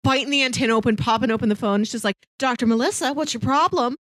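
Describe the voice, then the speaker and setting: A woman's voice: on the high side, quick pace, a bit bright, no echo. A woman co-hosting a movie podcast, discussing films in a casual, conversational style.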